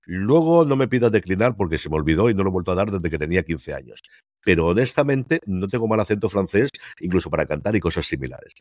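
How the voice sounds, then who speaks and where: A man's voice: low-pitched, expressive, fast talker, darkish tone, no echo. A man from Spain co-hosting a casual TV series review chat over a video call, talking emphatically and firing off rhetorical questions. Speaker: TV series critic co-host. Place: home room, remote call. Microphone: headset and desk mic over video call.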